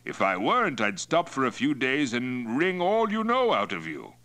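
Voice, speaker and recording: A man's voice: medium pitch, sing-song, moderate pace, pushed and bright, pitched above the performer's own voice. A man voicing a hearty, boastful Mongol chieftain in an audiobook, blustering and jovial with an edge of menace. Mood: genial menace. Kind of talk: acted dialogue in audiobook narration.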